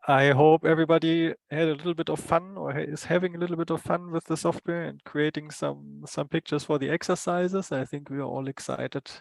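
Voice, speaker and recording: A man, the session's instructor, wrapping up in a halting way and searching for his words. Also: fairly high pitch, steady tone, gravelly, no echo. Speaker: workshop instructor.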